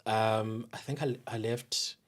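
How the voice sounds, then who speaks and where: A man's voice: lowish voice, flat delivery, takes it slowly. A young man with a South African accent, speaking informally as a podcast guest with plenty of slang. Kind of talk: casual interview chat.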